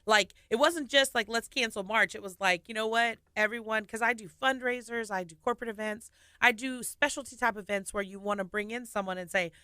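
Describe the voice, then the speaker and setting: A woman in her thirties, a singer and nurse, telling her story with lively laughs in a casual radio studio interview. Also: a little high, brisk, few pauses, clean tone, dry recording.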